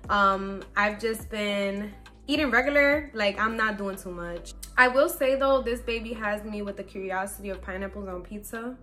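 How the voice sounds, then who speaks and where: A woman's voice: mid-range voice, normal speed. Chatty woman about thirty, upbeat vlog. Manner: chatty, upbeat, warm toward viewers, many fillers. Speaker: faith lifestyle vlogger. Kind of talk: casual chat to camera. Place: bedroom at home, indoors.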